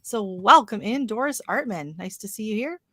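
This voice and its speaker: An American woman with a slight Canadian lilt chats casually as a livestream host, telling stories in an animated way. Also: mid-range voice, moderate pace, dry recording. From Oregon, USA.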